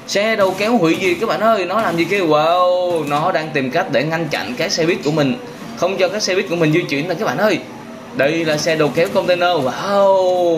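A young man speaks in a slightly high voice over a noticeably noisy recording. He narrates a vehicle game for children in simple, repeated phrases, full of exclamations. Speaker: host of a children's gaming channel.

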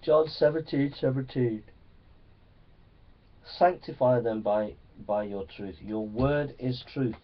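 A man's voice: mid-range voice, takes it slowly. A man preaching a Bible teaching sermon to young people in an earnest, exhorting manner, often checking for agreement. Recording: distant microphone, slightly dull sound.

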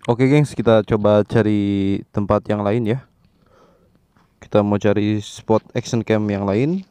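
A man's voice: lowish voice, steady tone, takes it slowly, warm tone, smooth. A man, talking casually to his viewers outdoors by his motorcycle, walking them through each step. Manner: friendly, addresses viewers as gang, step-by-step. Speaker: motovlogger. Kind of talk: casual vlog talk to viewers.

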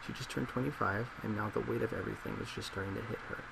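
A young man with a fairly low voice plays a psychologist in a soft-spoken ASMR role play. He talks in a measured, reassuring, slightly hesitant way, with heavy vocal fry. A loud laptop fan drones behind him in the small office. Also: steady tone, background noise. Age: 20s.

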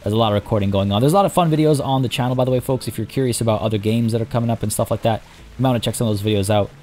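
A hoarse young man casually commenting as he plays a zoo-building game, chatty and rambling. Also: mid-range voice, racing, a little mellow, soft background music. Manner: rambling, chatty, many restarts and fillers. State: hoarse, losing his voice. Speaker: let's play host.

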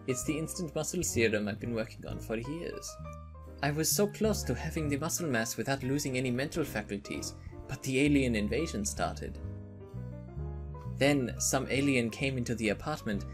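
A man's voice: medium pitch, lightly acted. A man voicing an earnest, defensive young scientist in a cartoon comic. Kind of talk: animated comic dialogue.

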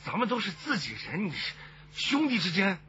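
Slippery crony, wildly swooping voice. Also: male voice, sits high, big pitch swings, moderate pace, muffled recording, crowd noise. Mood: ingratiating. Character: slippery male crony, flattering, hedging, excitable. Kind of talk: radio drama acting.

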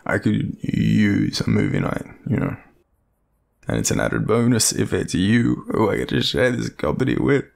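A man acting as an awkward young Australian guy, sweet on his friend, in an intimate audio roleplay. His voice is low and full of gravelly fry, swinging widely in pitch. He rambles and hesitates, joking at his own expense. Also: huge pitch swings, moderate pace, a little mellow, exaggerated voice. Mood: playful, relaxed. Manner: rambling, hesitant, self-deprecating, swearing. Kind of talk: intimate casual roleplay, half-improvised.

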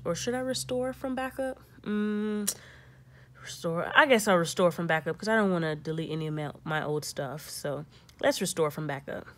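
A woman's voice: fairly low pitch, a bit bright. A young woman hosting a casual step-by-step tech tutorial, thinking aloud and reading prompts in an informal way.

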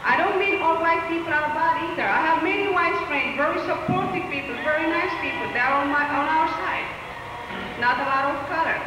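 A woman's voice: sits high, fairly quick, noisy background. A woman, not a native English speaker, giving an earnest, pleading speech at a podium in a large hall. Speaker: community speaker at a rally. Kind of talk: impassioned public speech. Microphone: podium mic from a far camera. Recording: echoey hall recorded from far off.

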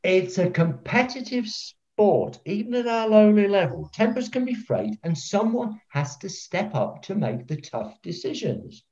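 An actor playing a pompous, eccentric veteran football referee, theatrical and self-righteous, on a video call. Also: male voice, sits high, sing-song, a little slow, hardly any pauses, a little mellow. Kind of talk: filmed comedy acting on a video call. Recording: dull, lo-fi video call audio.

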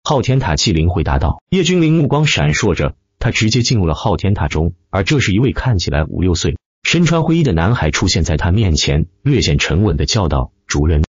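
A man's voice: sits low, big pitch swings, fairly quick, few pauses, slightly rough, dry recording, highs cut off. A man narrating a dramatic fantasy audiobook from a script.

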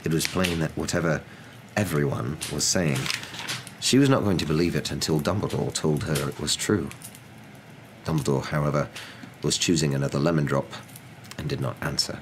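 A man's voice: extremely low pitch, normal speed, pauses often. A young man narrating a storybook in an ASMR reading style. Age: young adult. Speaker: audiobook-style reader. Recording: clear background noise.

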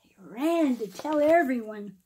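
A middle-aged woman with a notably high-pitched voice reads a rhyming picture book for children. Her delivery is theatrical and emphatic, with deliberate pauses between phrases. She sounds like a storyteller addressing young listeners directly. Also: lively intonation, darkish tone, slight background noise. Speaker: pastor reading a children's story. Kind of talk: expressive children's storybook read-aloud in rhyme.

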